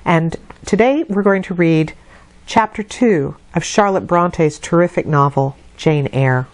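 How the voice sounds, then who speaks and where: A woman storyteller reading a classic novel aloud in an expressive literary narration. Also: fairly low pitch, a little slow, no echo.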